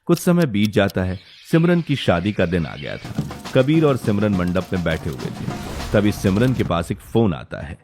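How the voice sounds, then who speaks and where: A man's voice: lowish voice, big pitch swings, moderate pace, music in the background. A man delivering scripted dramatic narration for a story.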